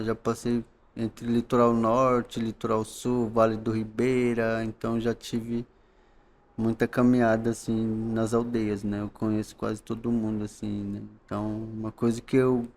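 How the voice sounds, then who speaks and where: A man from the coast of São Paulo, Brazil, speaking as a podcast guest in a calm, halting way, often ending phrases with tag questions. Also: medium pitch. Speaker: guest, knowledge keeper. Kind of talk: podcast interview.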